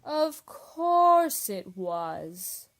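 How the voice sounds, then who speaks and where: Radio play creature role: woman as doleful elderly turtle, ponderous and very drawn-out, middle register. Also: medium pitch, big pitch swings, exaggerated voice. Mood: mournful, reluctant. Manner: ponderous, drawn-out, sighing delivery. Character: mournful, slow-speaking old Mock Turtle creature.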